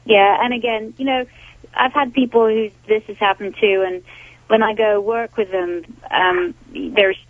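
A woman's voice: mid-range voice, steady tone, measured pace, dry recording. A woman dog trainer giving advice as a guest on a call-in radio show, explaining with plenty of fillers and restarts. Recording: tinny phone line, dry.

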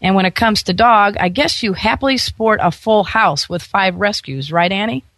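A woman hosting a radio interview show, husky and hoarse from laryngitis, chatty with playful quips. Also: medium pitch, normal speed, a bit bright, no room sound. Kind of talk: upbeat radio interview chat.

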